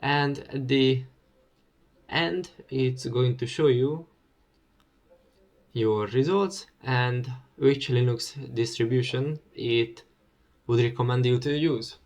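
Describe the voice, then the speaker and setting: A young man whose first language is Hungarian, casually thinking aloud with pauses and fillers as he walks through a computer setup. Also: medium pitch. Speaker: tech vlogger. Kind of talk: casual screen-recorded walkthrough.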